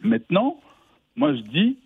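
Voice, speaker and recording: A man calling in to a radio show, giving his opinion with animated, halting speech and many restarts. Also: high-pitched, gravelly, breathy edge, no echo. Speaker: radio caller. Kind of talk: phone-in opinion. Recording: tinny phone line.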